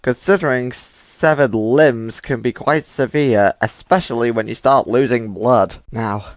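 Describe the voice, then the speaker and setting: A patient, protective robot guardian acted by a woman in a fan comic dub. The voice sits low and soothing, with measured reassurance and a very dry, close sound. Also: no echo. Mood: grave. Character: calm, protective animatronic caretaker, patient and reassuring. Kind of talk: amateur comic dub acting.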